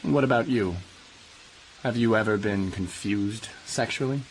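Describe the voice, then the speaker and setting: A smooth, ambitious American politician in a radio play. He speaks in a fairly low voice with glib, cynical confidence over faint background noise. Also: unhurried, low-quality recording. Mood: low, guarded. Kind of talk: radio drama acting.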